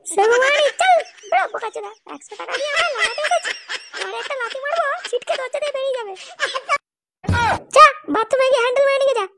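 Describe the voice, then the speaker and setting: A performer voicing a brash, foul-mouthed, scornful housewife with a shrill voice in a comedy cartoon. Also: lively intonation, quick pace, raised voice, very noisy. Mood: scornful, angry. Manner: scolding, mocking.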